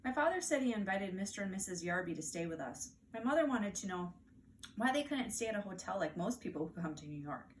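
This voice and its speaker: A woman with an American accent reads a children's story aloud as a teacher, animated and deliberate for young listeners. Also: medium pitch. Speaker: teacher reading aloud to first graders. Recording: distant mic, faint background noise.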